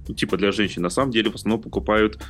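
A man's voice: lowish voice, rapid-fire, a bit bright, soft background music. A man co-hosting a podcast in casual conversation. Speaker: podcast co-host.

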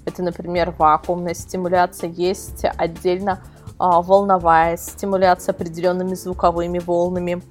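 A woman's voice: on the low side, little pitch movement, normal speed, soft background music. A woman speaking as a podcast guest, explaining things casually and wordily, with many qualifiers. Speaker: invited guest, editor of an adult toy website.

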